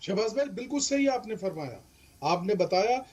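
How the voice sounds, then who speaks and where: A man's voice: high-pitched, lively intonation, normal speed, darkish tone, breathy edge, highs cut off. A man, explaining legal points emphatically as a panelist and mixing in English terms. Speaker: legal expert panelist on a debate show.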